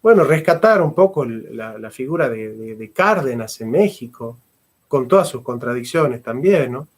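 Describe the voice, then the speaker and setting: A man's voice: mid-range voice, expressive, moderate pace. A middle-aged man, a philosopher and history teacher giving a fervent lecture and repeating phrases for emphasis. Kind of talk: impassioned lecture.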